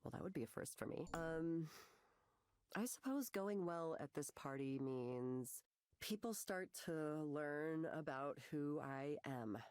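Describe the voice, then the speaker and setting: A low-voiced, anxious young woman. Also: slow pace, a little mellow, clear, resonant tone. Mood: hesitant, hopeful. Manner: nervous, rambling, hesitant, sardonic. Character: anxious, self-deprecating young woman, a counseling client. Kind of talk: visual novel game voice acting.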